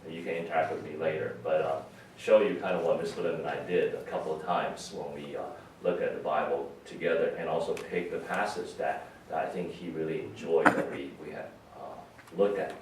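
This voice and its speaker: A low-voiced man gives an informal funeral message as a pastor. He speaks haltingly and reflectively. The sound is very echoey and distant, with faint noise behind him. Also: steady tone. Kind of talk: conversational memorial sermon. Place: large reverberant room at a memorial service.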